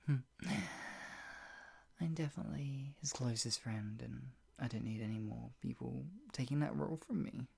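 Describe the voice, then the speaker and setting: A performer playing a possessive, sadistic yandere captor in an improvised ASMR roleplay, mocking and menacing, with a breathy voice that often drops toward a whisper. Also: mid-range voice, darkish tone. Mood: jealous, simmering. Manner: menacing, mocking, slow drawn-out taunts broken by laughs and sighs.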